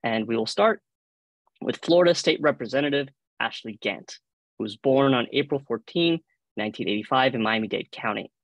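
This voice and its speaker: A man's voice: mid-range voice, no echo, poor audio. A man with a Cuban accent moderating a panel over a video call, formally reading out prepared introductions. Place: indoor room on a video call. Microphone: video call microphone.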